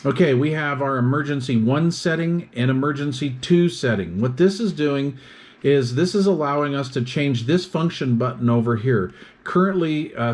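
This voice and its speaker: A man's voice: medium pitch, a little mellow. A man giving an explanatory product demonstration, methodically listing features in short phrases as a reviewer.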